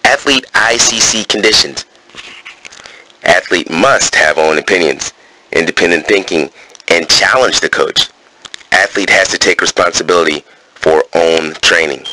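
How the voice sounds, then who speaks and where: A man's voice: low voice, pushed and bright, a little hiss or hum, low-quality recording. A man reading coaching points aloud in a wrestling gym, breaking off into casual summaries with fillers. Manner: alternates terse read-out points with casual asides full of fillers.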